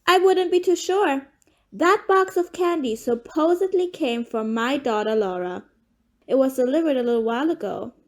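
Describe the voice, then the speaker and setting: A woman's voice: sits high, expressive, moderate pace, a little mellow. A woman playing a crusty, irritable, defiant mother, blunt and dismissive, in an audio play. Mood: wary. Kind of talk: amateur audio play acting.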